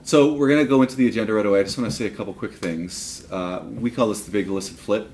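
A man's voice: on the low side, fast talker, a little mellow. A man facilitating a meeting, presenting informally to a group. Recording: roomy live recording, faint noise.

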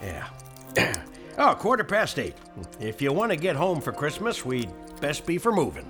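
An actor playing a hearty, gruff but tender frontier prospector in an American Western radio drama, with a folksy way of talking. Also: male voice, on the high side, wildly swooping pitch, normal speed, a bit bright, faint music behind. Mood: wry, friendly.

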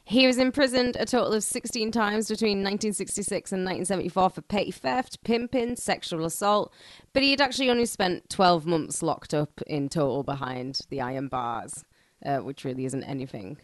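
The woman you'd hear hosting a true-crime podcast from a dry, padded little recording space, voice on the lower side, mixing prepared storytelling with cheeky slang. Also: fairly quick, no room sound. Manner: irreverent, joking, slangy. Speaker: podcast host. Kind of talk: scripted true-crime narration with casual banter.

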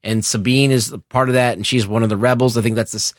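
A man's voice: medium pitch, steady tone, fast, no echo, studio quality. A co-host, a man, explaining things in a casual, blunt and rambling way with strong opinions. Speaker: podcast co-host. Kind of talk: casual explanatory pop culture chat.

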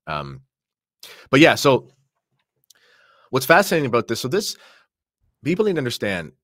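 A man's voice: medium pitch, huge pitch swings, normal speed, fairly bright tone, no echo, studio quality. A man from Canada speaking as a podcast guest in a casual religious discussion, explaining things in an earnest, conversational way.

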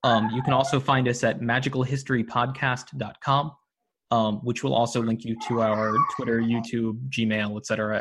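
A man's voice: medium pitch, noisy background. A man talking as an interview guest, enthusiastic and full of filler words like um and uh. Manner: enthusiastic, many ums and uhs. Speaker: podcast guest, audio drama producer. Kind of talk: casual interview talk.

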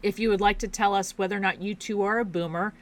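A woman's voice: mid-range voice, fairly even intonation, fast. A woman hosting a podcast, introducing her guest and asking questions in an upbeat, encouraging way. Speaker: podcast host. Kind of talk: podcast interview.

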